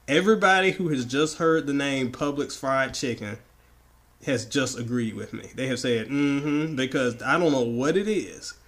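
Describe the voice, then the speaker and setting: Slightly raspy, playful male podcast co-host. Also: medium pitch, moderate pace, a bit bright. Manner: playful, emphatic, repeats words for effect. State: getting over the flu. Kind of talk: casual opinionated banter.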